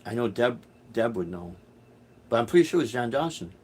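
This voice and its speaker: A man's voice: medium pitch, expressive, fairly quick, darkish tone, slight background noise. A middle-aged man from Chicago chatting casually on a live stream, answering viewer comments in a rambling, hesitant way with many restarts. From Chicago, USA. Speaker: musician hosting a live stream.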